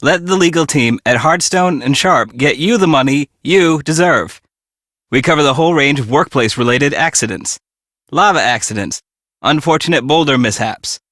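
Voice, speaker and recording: Man as a bright, earnest legal advert announcer. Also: medium pitch, dry recording, studio quality, lightly acted. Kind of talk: parody radio commercial read.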